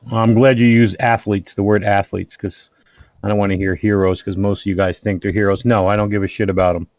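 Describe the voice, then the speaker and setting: A middle-aged man, a guest on his son's podcast, talking in a blunt, gruff, wisecracking way over a remote call. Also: on the low side, flat delivery, brisk, a little mellow, no echo. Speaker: guest, the host's father. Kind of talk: casual chat. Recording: dull, lo-fi call audio.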